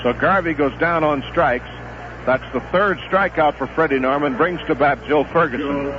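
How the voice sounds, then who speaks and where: A middle-aged man calls a baseball game live on the radio, in a steady, relaxed rhythm that lifts when a play heats up. His voice sits a little high. The sound is a dull, muffled 1970s radio broadcast with clear hiss underneath. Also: moderate pace. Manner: steady relaxed play-by-play, rising excitement on big plays.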